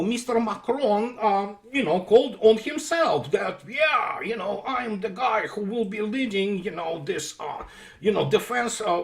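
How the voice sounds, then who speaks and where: High-voiced man with a sarcastic rant. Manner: sarcastic, emphatic, many fillers and restarts. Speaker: political commentator. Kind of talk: unscripted monologue commentary.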